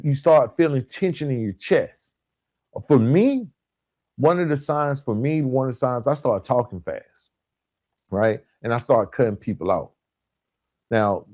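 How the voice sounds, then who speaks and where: A man hosts a self-help podcast in a medium-pitched voice. He speaks like a coach, stressing points deliberately and often tagging them with a short check-in question. The sound is dry, with little room on it. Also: darkish tone, no room sound. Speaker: podcast host and relationship coach.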